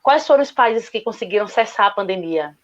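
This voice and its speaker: A woman's voice: medium pitch, animated, brisk. A woman with a Bahian accent answers a panel question with earnest conviction, circling back to her main point. Her voice sits in a middle range. The sound is dull and lo-fi, like audio from an online call. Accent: Bahian Portuguese. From Salvador, Bahia, Brazil. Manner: earnest, argumentative, repeats "justamente". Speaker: guest speaker, lawyer.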